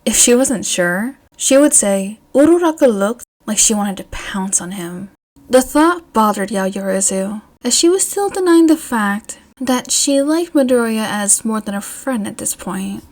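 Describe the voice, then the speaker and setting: A woman's voice: on the high side, expressive, measured pace, darkish tone. A woman reading a scripted story aloud as an audiobook narrator. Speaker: fan fiction audiobook narrator.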